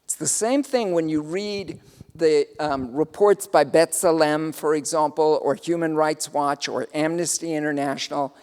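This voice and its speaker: A South African man gives a lecture and answers questions, fairly high-voiced. He pauses often, restarts his sentences and fills gaps with "um". His voice carries a long reverb, as if recorded in a large hall. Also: darkish tone, clear, resonant tone. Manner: thoughtful, hesitant, many fillers and restarts. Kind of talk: lecture with audience Q&A.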